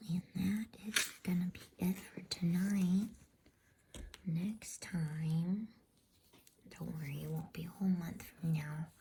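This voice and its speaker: A woman ASMR host speaking in a soothing, breathy whisper, as a bedtime story reader. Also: fairly low pitch, fairly even intonation, slow pace, darkish tone, slight background noise.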